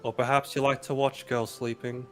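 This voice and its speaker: Man reading as deadpan sleepy girl. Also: mid-range voice, moderate pace, natural voice. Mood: dry, dismissive. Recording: guitar music behind the voice.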